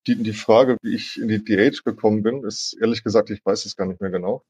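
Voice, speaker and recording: A man's voice: on the low side, steady tone, quick pace, darkish tone, slightly rough, no echo. A man answering informally as an interview guest, in a rambling, self-deprecating way. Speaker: interview guest, digital humanities academic. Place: remote online call.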